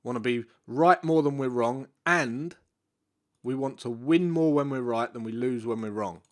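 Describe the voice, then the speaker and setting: A man talks through trading charts in a loose, unscripted way, often stopping to repeat himself. His voice sounds congested and bunged up, as if he has a cold. The pitch sits in a middle range for a man. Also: normal speed. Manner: relaxed, repeats himself, many fillers. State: congested, stuffy nose. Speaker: forex trading educator. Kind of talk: live market analysis walkthrough.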